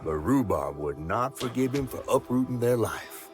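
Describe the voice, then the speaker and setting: A man's voice: medium pitch, big pitch swings, moderate pace, music in the background, natural voice. A man voicing a fussy, genteel talking creature from a video game, with a folksy, theatrical Southern American accent. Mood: solemn storytelling. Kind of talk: video game character dialogue.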